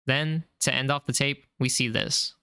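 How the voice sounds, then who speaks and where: A man calmly narrating a scripted recap of a horror video series in a measured, explanatory way. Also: medium pitch, creaky, clean tone, dry recording, clean studio sound.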